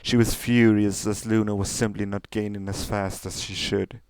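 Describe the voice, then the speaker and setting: A man narrates a scripted story in a fairly low voice. The tone is very smooth and clear, and the sound is close and dry, suited to dramatic fiction. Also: level tone, moderate pace, darkish tone, no room sound. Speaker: story reader.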